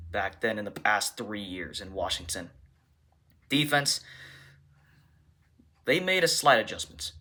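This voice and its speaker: A man speaking as a football coach at a press conference, answering questions in deliberate, halting short bursts. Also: on the low side, bright, forceful tone.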